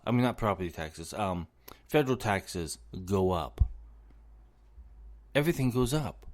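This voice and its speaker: A man hosting a solo podcast, losing his voice, talking in an indignant tone and repeating words as he rants. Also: mid-range voice. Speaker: podcast host.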